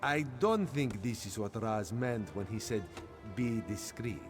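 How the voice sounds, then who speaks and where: A wry cat-folk spy. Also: male voice, lowish voice, lively intonation, unhurried, music in the background. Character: wry male cat-folk spy, refers to himself in third person. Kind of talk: video game character dialogue.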